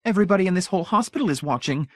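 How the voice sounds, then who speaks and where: A prim society lady gushes on in a high, fluttering voice that lifts well above the reader's own, as a man reading aloud puts her on. She keeps her chatter pleased and bland. The sound is close and dry. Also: lively intonation, rapid-fire, fairly bright tone, no room sound. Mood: gushing. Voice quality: high and gushy. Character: gushing society matron, fussy and bland.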